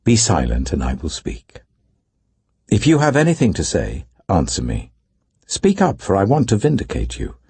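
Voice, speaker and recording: A man's voice: low-pitched, huge pitch swings, moderate pace, darkish tone, breathy edge, no room sound, heavily acted. A British man voicing an indignant, earnest man who lectures wise elders in a grave, imperious, declamatory way, in a scripture audiobook. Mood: commanding.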